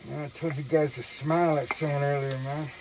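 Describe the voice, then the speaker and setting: A woman's voice: sits low, fairly even intonation, brisk, background noise. A woman talking casually to viewers in an earnest, reflective monologue, with slangy, profane phrasing. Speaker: vlogger.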